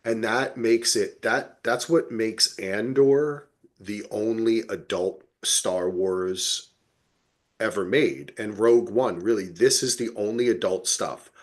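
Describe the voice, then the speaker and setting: A man with a fairly low voice talks as a podcast co-host. He is casual, enthusiastic and emphatic, and swears freely as he gives his opinions. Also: slow. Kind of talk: casual fan chat about TV.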